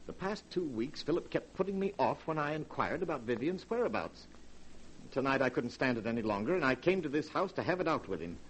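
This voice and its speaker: Actor as a scheming murderer, wide-swinging pitch. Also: male voice, sing-song, brisk, lightly acted. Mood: feigned grief, uneasy. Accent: American. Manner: melodramatic, precise. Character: cold, scheming murderer posing as grieving brother. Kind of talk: 1940s radio horror acting. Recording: faintly noisy vintage radio recording.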